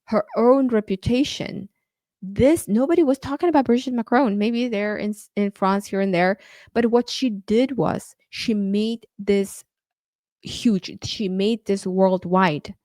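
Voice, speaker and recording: A woman's voice: medium pitch, a little mellow, dry recording. A woman whose first language is Uzbek, talking earnestly in a podcast monologue, often stopping and restarting her sentences. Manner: earnest, halting with frequent restarts. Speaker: podcast host. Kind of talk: casual monologue.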